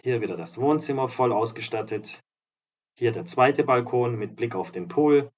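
A slightly hoarse man giving an upbeat, promotional walkthrough of apartments as a real estate agent and vlogger. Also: medium pitch, normal speed, dull audio. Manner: upbeat, promotional, walks through rooms while talking. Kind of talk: property tour narration. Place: apartment complex in a seaside town, indoors and outdoors.

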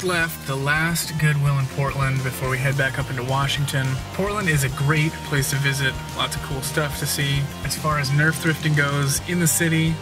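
Young male vlogger in his car, relaxed and chatty, dash-cam distance. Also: a little high, moderate pace, hardly any pauses, over loud music. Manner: relaxed, chatty, many "uh" fillers. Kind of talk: casual travel vlog. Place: inside a car while driving.

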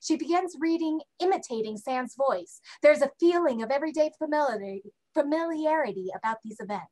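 Woman narrating a play script, a bit high pitched, dry room. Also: animated, brisk, darkish tone, dry recording. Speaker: stage directions reader. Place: home room, video call.

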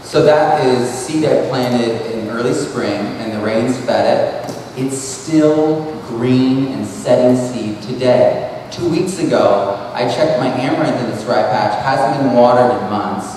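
A man's voice: medium pitch, slow pace, darkish tone, slight background noise. A man in his thirties from New England, giving a casual, rambling talk with a handheld mic to an audience in a large hall. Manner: rambling, restarts often, conversational and earnest. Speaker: speaker at an expo. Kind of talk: casual presentation. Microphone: handheld mic, far camera. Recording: echoey hall, voice from far off.

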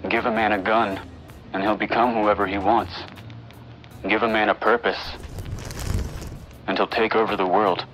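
A man playing a curt, menacing underworld figure in a noir audio drama, speaking in a cold, deliberate way. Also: fairly low pitch, flat delivery, a bit bright, faint music behind, lightly acted. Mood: cold, terse. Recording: dull, band-limited sound.

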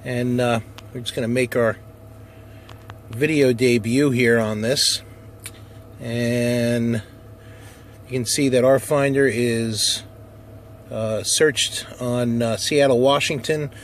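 A man talks casually through a demonstration in the manner of a ham radio operator. His pitch is average. He is recorded inside a car, with faint vehicle noise behind him. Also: steady tone, slow pace, pauses often. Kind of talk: casual demo narration and radio call-outs. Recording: noisy car interior, handheld camera.

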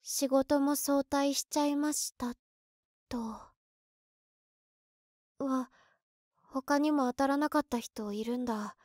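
A woman acts out an emotional, overworked office worker in her early twenties. The voice is high and unhurried. She talks to herself expressively in an audio drama monologue. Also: steady tone, a little mellow, no room sound, studio quality. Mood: dejected.

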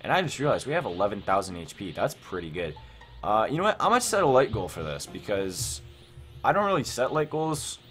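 A young man with a low voice hosts a gaming video. He talks casually through his play, thinking aloud in a rambling way. Music plays clearly behind him. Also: sing-song, fairly quick, a bit bright. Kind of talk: casual gameplay commentary.

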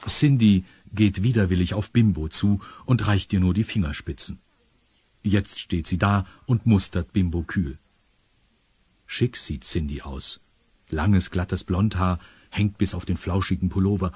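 A man's voice: sits low, measured pace, darkish tone, slightly rough, no room sound. A man narrating a children's audio drama from a script.

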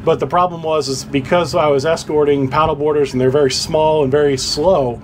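Male boating host, easygoing demo delivery, slightly high voice, noisy onboard recording. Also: fairly even intonation, fairly quick. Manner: casual, rambling, many fillers and restarts. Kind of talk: hands-on equipment tutorial.

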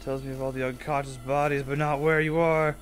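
A man's voice: medium pitch, little pitch movement, a little fast, soft background music. A man giving casual gameplay commentary with muttered, irreverent asides. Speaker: let's player. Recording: clear background game noise. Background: video game sounds.

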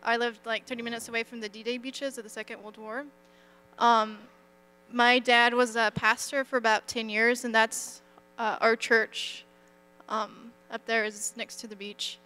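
A young woman from France on an auditorium stage, talking about her hometown in a soft-spoken way full of fillers. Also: a little high, little pitch movement, unhurried, clean tone. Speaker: student panelist.

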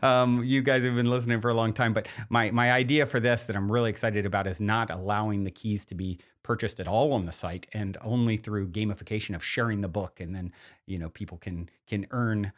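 A male podcast host with a fairly low voice. He talks informally and at length, rambling along with enthusiasm, as if chatting to regular listeners. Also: fairly even intonation, fairly quick. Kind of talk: casual podcast talk.

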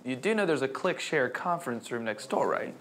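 A man's voice: mid-range voice, expressive, a little fast, a bit bright, a little hiss or hum, close to an everyday voice. A man playing a helpful, confident coworker demonstrating a gadget in a comedic ad. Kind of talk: comedic ad acting, product demonstration. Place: conference room.

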